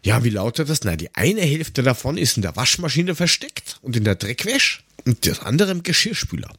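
Male podcast host, middle-aged, animated pitch, wry and halting, casual banter, very dry recording. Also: breathy edge, no echo. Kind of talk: casual humorous podcast chat.

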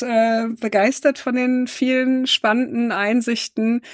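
A woman guest on a podcast, thanking the host in a polite, measured way. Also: mid-range voice, no room sound, clean studio sound. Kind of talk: thanking at end of talk.

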